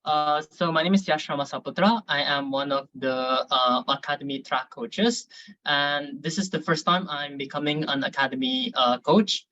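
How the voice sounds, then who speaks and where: A young man who is an academy coach introducing himself on a video call, halting with many fillers. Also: on the high side, sharp tone. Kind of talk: online meeting introduction. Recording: dull dry video call audio.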